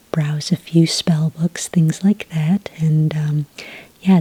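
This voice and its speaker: A woman playing a friendly, helpful witch clerk at a magic academy in a soft-spoken, unhurried, whispery ASMR roleplay. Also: sits low, husky, no room sound, exaggerated voice, rough recording.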